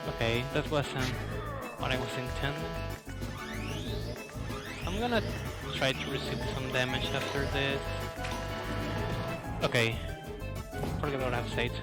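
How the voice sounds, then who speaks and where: A man with a mid-range voice gives casual, live commentary as he speedruns a video game. His phrasing is that of a non-native English speaker. Loud game music and crashing effects sit behind his voice. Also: mid-range voice, unhurried, pauses often.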